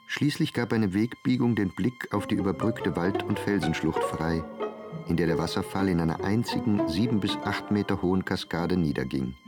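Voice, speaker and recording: Low-voiced male literary narrator over music. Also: normal speed. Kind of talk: scripted literary narration in radio play. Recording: prominent music behind narration.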